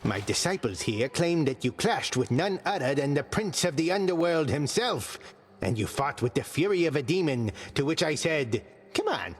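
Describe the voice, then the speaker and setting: An actor playing a gruff, joking male skeleton commander who trains fighters and mixes lofty old words with slang, delivered deadpan. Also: on the high side, normal speed, big-room sound. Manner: deadpan jokes mixing archaic and slang words. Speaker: combat trainer.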